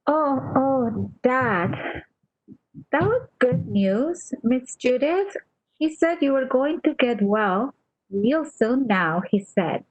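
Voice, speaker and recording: A woman acting in a radio play as a faithful nurse, gentle, deferential and reassuring, on a slightly dull recording. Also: sits high, animated, slow pace, no room sound, heavily acted. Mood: evasive, reassuring. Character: faithful, soothing, deferential female nurse hiding bad news.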